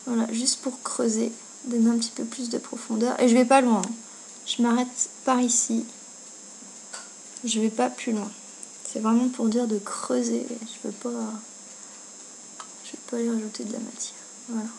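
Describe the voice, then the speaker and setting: A woman talks through a makeup tutorial in an informal way, as if thinking aloud while she works. Her voice sits fairly high. It is a homemade indoor recording with some faint noise behind her. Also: level tone, normal speed, creaky, pauses often, darkish tone, poor audio. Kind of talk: casual step-by-step tutorial chat. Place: indoors at home. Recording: noticeable background noise, amateur home video.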